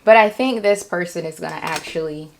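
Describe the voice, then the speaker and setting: A woman vlogging casually and reflectively, sounding nervous, with halting speech that circles back and repeats phrases for emphasis. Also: on the low side, vocal fry, a little hiss or hum. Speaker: vlogger, writer and storyteller. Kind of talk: casual, reflective vlog talk.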